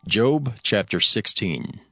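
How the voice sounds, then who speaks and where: A man's voice: lowish voice, expressive, slow, bright, forceful tone. A man narrating an audio Bible in a scripted, announcing style. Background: faint music.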